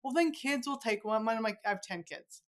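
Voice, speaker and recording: A woman's voice: fairly high pitch, moderate pace. A woman hosting a casual interview podcast, chatty and enthusiastic. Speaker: podcast host, novelist.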